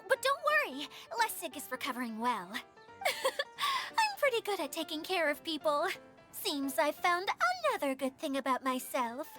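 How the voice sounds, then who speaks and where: A woman's voice: high voice, very animated, normal speed, sharp tone, soft background music, heavily acted. A woman voicing a cheerful, self-pleased young female water spirit in a video game, with a chirpy manner. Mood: cheerful, proud.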